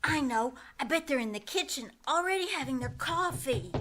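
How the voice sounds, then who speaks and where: High-voiced, anxious little girl, acted by a woman. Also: expressive, normal speed, background noise. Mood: worried, pleading. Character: eager, anxious little girl waiting for her parents. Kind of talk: old-time radio drama acting, live on stage.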